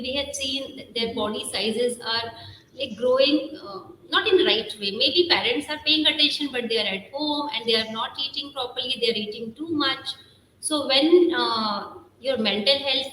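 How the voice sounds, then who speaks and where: A middle-aged woman speaks high and a little hoarse. She talks as a school principal in an interview, chatty and earnest, full of small fillers. Her voice rings in an echoey room over faint noise. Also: bright, forceful tone. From India. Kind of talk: interview answers.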